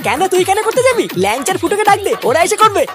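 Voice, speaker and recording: An irritable cartoon cook. The cook grumbles and scolds at breakneck speed over clear background music. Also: very high-pitched, sing-song, racing. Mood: exasperated. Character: irritable, foul-mouthed picnic cook in a comedy cartoon. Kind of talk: exaggerated cartoon comedy dialogue.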